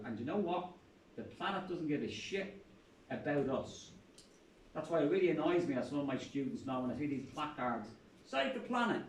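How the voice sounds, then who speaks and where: Blunt Irish professor in an echoey room. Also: male voice, medium pitch, a little hiss or hum. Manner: blunt, impassioned, salty humor. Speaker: professor and panel speaker. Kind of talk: public talk at a university event. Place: lecture room. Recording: echoey room, speaker far from mic.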